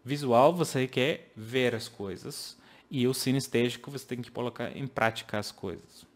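A man's voice: medium pitch, normal speed. Man, 30s, English-speaker accent, earnest teacher, home office webcam talk. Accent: English-speaking foreigner speaking Portuguese. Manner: earnest, explaining with hand gestures, makes grammar slips. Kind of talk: casual video lesson to camera.

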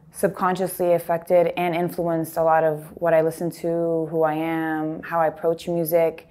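A woman's voice: on the low side, darkish tone. A young adult woman from the United States, a musician answering interview questions in an earnest, reflective way. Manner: earnest, reflective, talks with her hands. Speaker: musician guest. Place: studio with blue backdrop, indoors.

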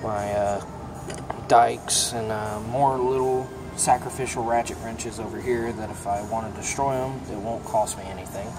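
A young mechanic casually showing his toolbox, talking in a relaxed, plainspoken way with plenty of ums and uhs. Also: fairly low pitch, normal speed, over music. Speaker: mechanic giving a toolbox tour. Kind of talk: casual show-and-tell vlog. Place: auto repair shop, indoors. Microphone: phone held in hand, filming first-person. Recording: shop noise with air conditioning hum.

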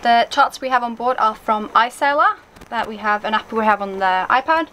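A young woman co-hosting a sailboat tour, explaining things clearly and matter-of-factly from inside the cabin. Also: a little high, fairly bright tone, a little hiss or hum, low-quality recording. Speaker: sailing vlog co-host. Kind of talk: casual boat tour explanation.

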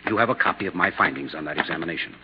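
An actor playing an irritated, defensive physician in a 1950s radio drama, speaking in a testy, emphatic way. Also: male voice, sits low, lively intonation, very fast. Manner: testy, emphatic, put-upon. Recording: noisy, muffled old radio recording.

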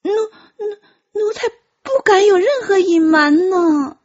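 A woman's voice: very high voice, takes it slowly, darkish tone, muffled recording. A woman playing a timid, flustered young palace eunuch in an audiobook, with a meek, stammering delivery. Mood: frightened pleading. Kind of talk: audiobook character acting.

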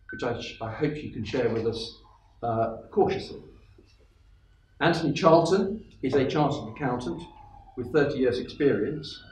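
A middle-aged man moderating a panel, introducing the speakers in a measured way with frequent fillers and restarts. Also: medium pitch, darkish tone. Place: panelled conference room. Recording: echoey room, distant mic, faint hiss.

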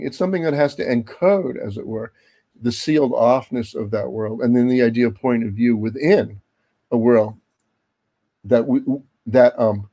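Older guy in his 60s, gravelly fry in his voice, rambly academic explaining on a video call, lots of asides. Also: mid-range voice, a little mellow. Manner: discursive, hedging with frequent asides. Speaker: academic speaker. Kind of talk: extemporaneous academic discussion. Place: book-lined study at home, indoors. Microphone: computer mic on a video call.

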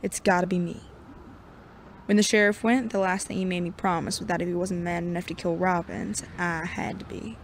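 A woman's voice: on the low side, level tone, a little fast, slight background noise, close to an everyday voice. A woman playing a proud, stubborn and terse sheriff in a western audio drama. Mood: grim resolve. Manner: terse, irritable. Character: proud, stubborn, gruff Wild West sheriff.

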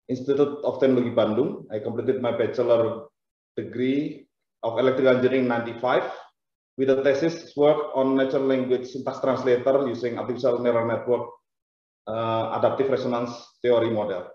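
A man in his forties with an Indonesian accent, giving a webinar presentation in a careful, halting way with many pauses. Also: mid-range voice, fairly even intonation. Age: 40s. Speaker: guest lecturer. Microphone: video call. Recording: echoey, dull video call audio.

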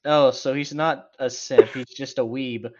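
A man's voice: medium pitch, fairly even intonation, unhurried, a little mellow, lo-fi sound. A man co-hosting a casual read-aloud of a story, with sarcastic, mocking and profane commentary. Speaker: co-host reading a story aloud with running commentary.

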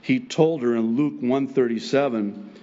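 A middle-aged man whose first language is Arabic, a pastor preaching a sermon from a pulpit microphone on a church stage, animated and chuckling often as he acts out God's replies. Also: mid-range voice, unhurried, dull audio. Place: church stage with wood pulpit and plants, indoors.

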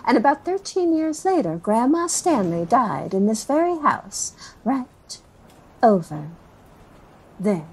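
A woman's voice: a little high, big pitch swings, takes it slowly, husky, exaggerated voice, low-quality recording. An actress playing a chatty, nervous woman in her forties in an audio drama, rambling through family memories with sighs and self-corrections. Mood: wistful, chatty. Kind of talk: interviewee in a found-footage audio drama.

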